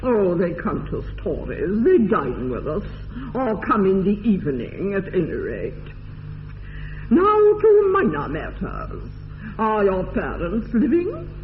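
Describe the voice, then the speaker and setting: Haughty, swooping upper-class English dowager. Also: female voice, mid-range voice, huge pitch swings. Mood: brisk disapproval. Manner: imperious, pompous, deliberate enunciation. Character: haughty, domineering older aristocratic English lady. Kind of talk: classic radio comedy acting. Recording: dull lo-fi radio recording, faint noise.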